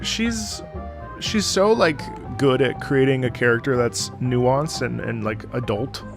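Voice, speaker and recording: A man's voice: medium pitch, slow, creaky. A man giving casual live reaction commentary as a game streamer. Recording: game music faintly behind voice.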